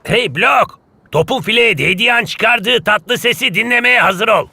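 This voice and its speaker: A man's voice: sits high, normal speed, gravelly, bright, forceful tone, slightly rough, heavily acted. An actor voicing a brash, taunting male footballer in a cartoon dub. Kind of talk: animated cartoon dub acting.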